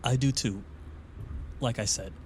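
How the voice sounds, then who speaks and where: A man's voice: medium pitch, a little slow, slight background noise, lightly acted. An actor playing a skeptical, uneasy and blunt man in a naturalistic audio drama. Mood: uneasy, conceding. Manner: blunt, questioning.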